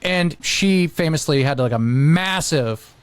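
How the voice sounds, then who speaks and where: A man with a somewhat high voice talks in a loose, conversational podcast style. He tells stories in an animated way and slips into quoted reactions. The sound is close and dry. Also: slow pace, clean tone, dry recording. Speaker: podcast host. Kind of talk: casual podcast chat.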